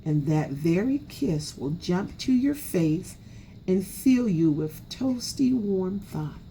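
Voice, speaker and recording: A tender mother raccoon from a picture book, voiced by a woman reader. The delivery is slow and soothing, with a soft, dark warmth and gentle reassurance. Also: on the low side, animated, a little hiss or hum, poor audio.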